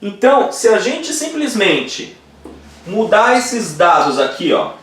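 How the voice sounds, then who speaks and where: A man's voice: high-pitched, very animated, slow, a bit bright, roomy sound. A man in his forties from Brazil, teaching an online course at a whiteboard and often checking that the viewer is following. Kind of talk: explaining at a whiteboard. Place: indoor room with a whiteboard.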